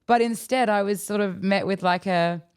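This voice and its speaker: Young woman, australian accent, mid pitch, dry deadpan storytelling, self-mocking. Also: moderate pace, smooth. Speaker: podcast guest. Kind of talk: casual storytelling chat.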